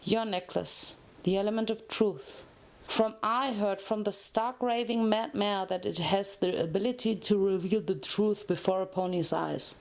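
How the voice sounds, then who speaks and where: A woman voicing a cold, formal stallion professor who interrogates in a measured, menacing way, in a dramatic story reading. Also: medium pitch, creaky. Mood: probing. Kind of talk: dramatic fanfiction reading of a cartoon.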